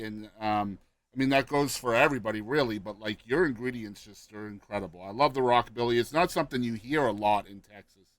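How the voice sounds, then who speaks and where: A man's voice: lowish voice, fairly bright tone, no room sound. A man hosting a podcast interview, enthusiastic and casual, filling his questions with "like" and "you know". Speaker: podcast host.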